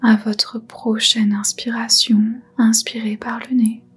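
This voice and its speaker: A woman softly guiding a meditation in a breathy, whispery voice, soothing and unhurried. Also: a little high, level tone. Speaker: yoga nidra guide. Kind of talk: guided meditation, soft whispered narration.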